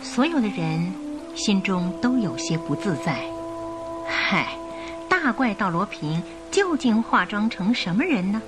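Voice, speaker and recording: A woman narrating a radio drama in an expressive, suspenseful storytelling style. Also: medium pitch, measured pace. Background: music.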